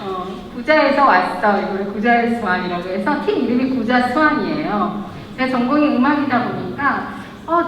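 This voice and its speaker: A woman's voice: a little high, slight background noise. A woman, a local brand founder, giving a chatty stage talk and joking with the audience. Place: event hall. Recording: echoey hall, lo-fi live recording.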